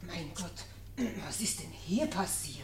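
A woman's voice: fairly low pitch, expressive, measured pace, heavy background noise. A woman playing an anxious, caring housewife in a radio drama, fretful and repeating her words. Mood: hesitant, uneasy. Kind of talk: German radio play acting.

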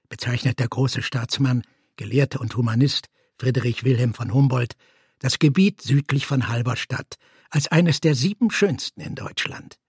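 A man giving a measured, formal reading of a scripted literary text. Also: medium pitch, slightly rough, dry recording, studio quality. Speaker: reader in a literary audio piece.

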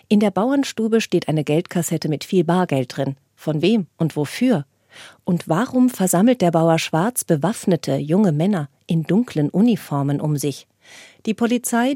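Mellow, low-voiced woman reviewer. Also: dry recording, clean studio sound. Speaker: radio reviewer. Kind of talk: scripted narration, cultural review. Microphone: close studio microphone.

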